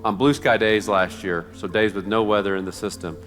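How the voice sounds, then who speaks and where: A man's voice: lowish voice, steady tone, fairly quick, fairly bright tone, soft background music. A man speaking as an executive, making public remarks.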